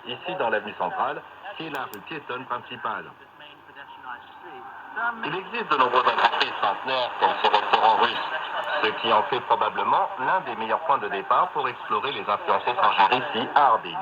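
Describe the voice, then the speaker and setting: A man's voice: medium pitch, normal speed. A man presenting a scripted travelogue as a reporter, heard over shortwave radio. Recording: dull, very noisy shortwave radio.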